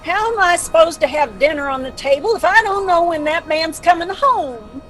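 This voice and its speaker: A woman's voice: extremely high pitch, animated, moderate pace, fairly bright tone. An actress playing a confused, forgetful mother in an audio drama, fretful and scolding as she complains.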